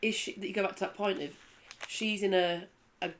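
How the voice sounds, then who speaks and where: A woman's voice: medium pitch, fairly quick, slight background noise. A woman, a former rugby league player chatting casually on a studio panel. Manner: chatty, lively, laughs easily.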